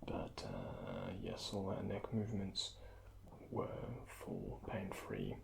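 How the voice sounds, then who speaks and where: A man speaking softly and breathily in an ASMR roleplay as a doctor, giving gentle, courteous, unhurried instructions to a patient, close to the microphone. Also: sits low, huge pitch swings. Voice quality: breathy, often near-whispered. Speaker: ASMR performer playing a doctor. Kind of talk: soft-spoken ASMR medical roleplay, addressing the listener as a patient.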